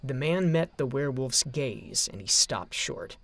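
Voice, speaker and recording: A man narrating a scripted story in a dramatic, expressive storytelling manner. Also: medium pitch, a little slow, dry recording.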